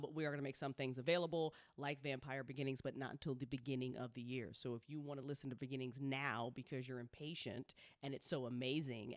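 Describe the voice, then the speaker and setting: A woman's voice: very deep voice, fairly bright tone, dry recording, studio quality. A woman chatting casually as the host of a live listening party, informal, playful and freely sweary. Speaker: host of a live audio drama listening party, co-founder, writer and director. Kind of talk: casual live-stream chat.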